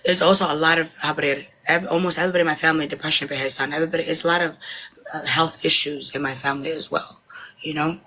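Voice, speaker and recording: A woman's voice: sits low, steady tone, quick pace, pushed and bright, low-quality recording. A woman in her twenties, an interviewee from Somalia, talking hesitantly and switching between Somali and English mid-sentence. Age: 20s. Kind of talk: casual conversation.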